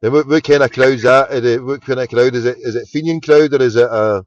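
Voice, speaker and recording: A man's voice: medium pitch, fairly even intonation, fairly quick, highs cut off, a little hiss or hum. Chatty Scottish man hosting a phone-in. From Scotland. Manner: chatty, swearing, repeats words often. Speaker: phone-in host. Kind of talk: casual phone-in chat.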